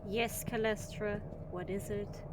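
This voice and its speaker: A woman's voice: mid-range voice, steady tone, measured pace, very noisy, natural voice. A woman playing a blunt, defiant shuttle captain in an audio drama, curt and dismissive in manner. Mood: irritated.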